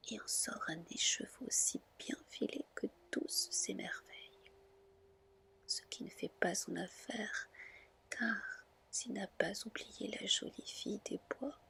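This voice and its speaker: A woman narrating a fairy tale gently and in measured phrases, in a whispered ASMR style. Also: medium pitch, gravelly. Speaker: ASMR storyteller.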